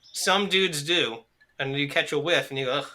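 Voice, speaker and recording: A man's voice: a little high, moderate pace, bright, forceful tone. A congested man co-hosting a podcast in casual banter, rambling and joking with lots of restarts from a small hot room at home. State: stuffed up, congested. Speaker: podcast co-host.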